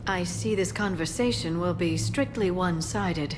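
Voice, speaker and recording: A woman's voice: on the low side, normal speed, background noise, lightly acted. An actress playing a composed, sly accomplice in a video game, refined and dry in her delivery. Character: poised, sly woman accomplice at a party. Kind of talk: cinematic video game dialogue.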